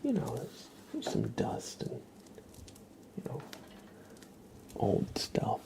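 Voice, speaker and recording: A man's voice: mid-range voice, huge pitch swings, slow, dark tone. Whispering male ASMR streamer. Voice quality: breathy, mostly whispered. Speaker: ASMR livestream host. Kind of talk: ASMR, casual chat with livestream viewers.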